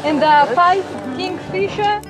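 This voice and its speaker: Woman educator, high voice, halting hands-on demonstration outdoors. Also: music in the background. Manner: hesitant, many uh fillers. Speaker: field educator.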